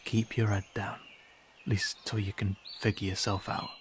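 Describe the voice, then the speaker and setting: A man's voice: fairly low pitch, flat delivery, normal speed, noisy background, lightly acted. A man playing a wary young male cat-person guide in a fantasy audio drama, speaking casually and hesitantly straight to the listener. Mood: cautious, warning. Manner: hesitant, casual, conversational asides.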